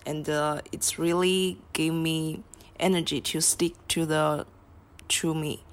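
Woman from chongqing, chinese accent, english not her first language, low voice, hesitant, many "uh" fillers. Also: steady tone, slow. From Chongqing, China. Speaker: guest speaker. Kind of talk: prepared spoken monologue.

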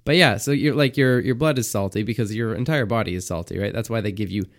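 A man with a raspy voice co-hosting a comedy podcast, chatty and explaining things with lots of fillers. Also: lowish voice, fast talker. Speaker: podcast co-host. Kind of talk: casual comedic chat.

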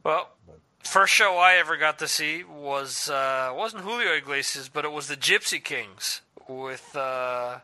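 Podcast host, man in his forties, bright slightly high voice, easygoing profane chat. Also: measured pace. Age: 40s. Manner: loose, swearing, laughs often. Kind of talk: casual chat. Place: lives in Spain.

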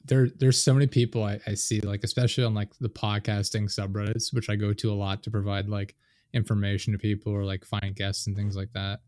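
A man's voice: lowish voice, flat delivery, fairly quick, a little mellow, no room sound. A man hosting a podcast in casual interview chat, slightly nasal, who speeds up and trips over his words when he gets going, on a studio microphone. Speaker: podcast host. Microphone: studio dynamic microphone.

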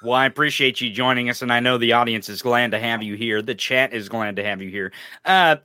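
A man's voice: medium pitch, a little fast, pushed and bright, no room sound, clean studio sound. A man hosting a podcast, chatting and joking casually. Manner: joking, upbeat. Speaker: podcast host.

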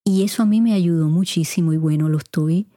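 A middle-aged woman with a mostly neutral Puerto Rican Spanish accent, hosting a podcast and answering listener questions in an unhurried, chatty way, speaking straight to her listeners. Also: lowish voice, dark tone, no room sound, clean studio sound. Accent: Puerto Rican Spanish, kept mostly neutral. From Puerto Rico. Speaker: podcast host. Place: home recording room. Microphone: studio mic.

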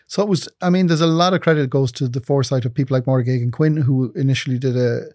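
A man in his fifties, originally from England, answering interview questions in an articulate, measured way. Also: medium pitch, a little mellow, no room sound, studio quality. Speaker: broadcasting executive guest.

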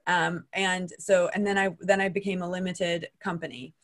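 American woman on a podcast, bit low pitched, rambly and talking from her own experience. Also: level tone, moderate pace. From United States. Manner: chatty, with many restarts and asides. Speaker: podcast host. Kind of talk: casual conversation.

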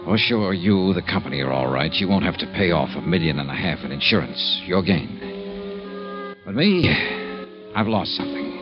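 A man's voice: low-pitched, big pitch swings, normal speed. A man playing a tough, world-weary insurance investigator in 1950s radio drama style. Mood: bitter, weary. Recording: muffled vintage radio recording, faint music.

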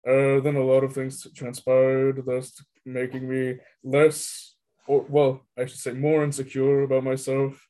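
Deep-voiced hesitant teenage boy. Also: completely flat delivery, mellow. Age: teens. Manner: halting, hesitant, many fillers. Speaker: high school student. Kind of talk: casual interview answers.

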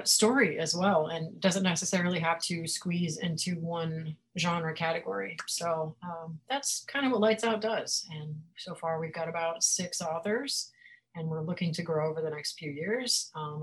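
Interview guest: woman, lowish pitch, casual, quick to laugh, self-deprecating. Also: low-quality recording. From Florida. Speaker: guest author and publisher.

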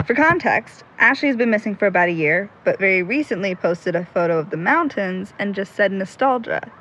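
An actress playing a determined, bossy younger sibling in a naturalistic audio drama, speaking earnestly and insistently. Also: female voice, a little high, fairly bright tone, lo-fi sound, a little hiss or hum. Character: determined, bossy younger sibling hunting missing best friend.

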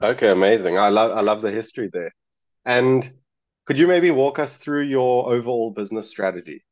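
A man's voice: mid-range voice, animated, fairly quick, lo-fi sound. A man hosting an interview, asking questions in a conversational way. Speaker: interviewer, show host.

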